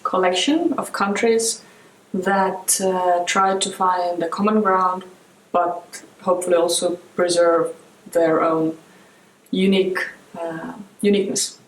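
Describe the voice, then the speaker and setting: A woman's voice: fairly low pitch, fairly even intonation, slow, slight background noise. A woman giving thoughtful short answers in a documentary interview. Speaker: improv festival artist interviewed. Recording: echoey room.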